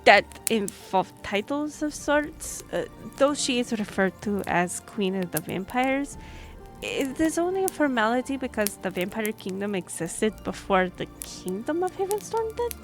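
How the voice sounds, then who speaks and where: A woman's voice: fairly high pitch, very animated, unhurried, soft background music. A woman playing a courteous half-elf royal liaison in a fantasy audio drama, speaking formally and explaining things with some hesitation. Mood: formal, explanatory.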